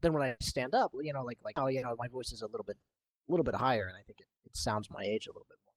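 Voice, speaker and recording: An eighteen-year-old man chatting casually as a podcast guest, rambling and laughing with lots of filler words, recorded on a cheap home microphone. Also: racing. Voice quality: deep for his age when slouched. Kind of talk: casual chat. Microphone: cheap home mic.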